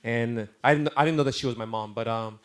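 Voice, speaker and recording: A man's voice: mid-range voice, fast. Chatty Texan-accented man telling stories. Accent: American, Texas. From Korea. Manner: chatty, self-amused, many restarts. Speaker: talk show host and worship leader. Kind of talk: casual storytelling on a live talk show.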